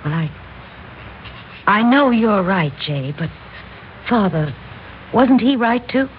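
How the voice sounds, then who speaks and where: A woman's voice: medium pitch, lively intonation. A woman acting a worried, hesitant young woman in a mid-century radio drama, speaking tentatively. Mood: anxious, tentative. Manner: tentative, halting. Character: Alice, hesitant, worried young woman, loyal but doubting. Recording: thin, phone-like old radio recording, faint noise.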